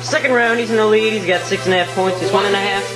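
Excitable home-video announcer, sing-song and hammy, competing with loud techno. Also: female voice, medium pitch, quick pace, over loud music. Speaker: mock sports announcer. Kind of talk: playful play-by-play commentary in a home video. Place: home basement.

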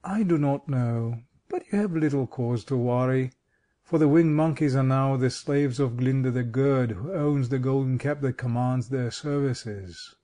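A man's voice: mid-range voice, unhurried, dark tone, lightly acted. A man voicing a kindly living scarecrow king in a storybook, reflective, courteous and reassuring in manner. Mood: thoughtful.

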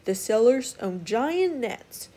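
A woman plays an old, wise male clam from a children's storybook. He speaks in an avuncular, helpful way to youngsters, at a somewhat high pitch. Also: sing-song, slow, warm tone, exaggerated voice. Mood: kindly, reassuring. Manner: avuncular, addresses the young as kid.

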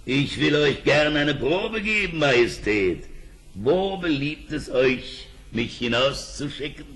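A bold old magician in a fairy-tale radio play. He speaks slowly and with courtly ceremony, in a bright, forceful voice. He sounds sly and sure of his own powers. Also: on the high side, big pitch swings, heavily acted. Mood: confident. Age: older. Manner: courtly, deliberate, theatrical. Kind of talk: radio play acting for children.

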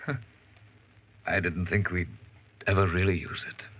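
An actor in a 1940s radio drama playing a cocky, excitable, loyal right-hand man with a brash, slangy delivery. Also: deep voice, measured pace, slightly rough, heavily acted. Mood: subdued, dismayed. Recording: dull, band-limited vintage radio recording.

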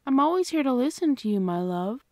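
A woman playing an affectionate, protective, gently bossy girlfriend in an ASMR roleplay, speaking tenderly and coaxingly. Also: sits high, sing-song, fairly quick, no room sound, studio quality. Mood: tender, soothing.

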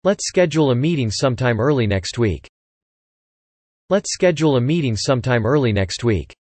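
A man reads English vocabulary and example sentences for learners, careful and deliberate, saying each line twice. His voice is a little husky and moves up and down a lot between phrases. The sound is dry and close, with no room in it. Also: medium pitch, expressive, darkish tone, no room sound. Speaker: English word reader. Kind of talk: slow repeated vocabulary reading.

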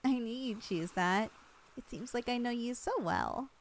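Soft-spoken young woman in ASMR roleplay. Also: fairly high pitch, expressive, unhurried. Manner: soft, affectionate, teasing. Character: loving long-distance girlfriend, young woman, teasing and tender.

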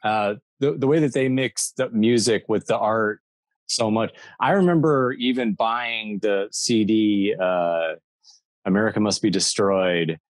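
A man in his thirties from the Midwest talks over a video call from a room lined with toy shelves. His voice sits low and sounds dry and close, and he wanders through his stories with plenty of ums and restarts. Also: unhurried, no room sound. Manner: rambling, many fillers and restarts. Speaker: guest toy maker and artist. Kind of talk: casual interview chat.

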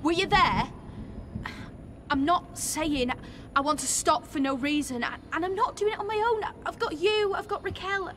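Young girl, high bright voice, bitter and swearing, a bit of hiss behind. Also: moderate pace. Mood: resentful. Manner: bitter, swearing, turning furious. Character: young woman Jenny, defiant and volatile.

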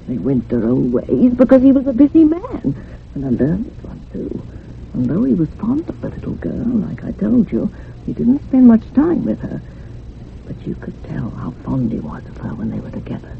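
An older housekeeper in a radio thriller, troubled and gossipy. Her creaky voice swings widely up and down as she rambles. The sound is thin and phone-like. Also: fairly high pitch, wildly swooping pitch, normal speed, full character voice. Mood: confiding, gossipy. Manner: rambling, dramatic, hushed at moments. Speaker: actor in a radio drama. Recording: muffled phone-like radio recording with hiss.

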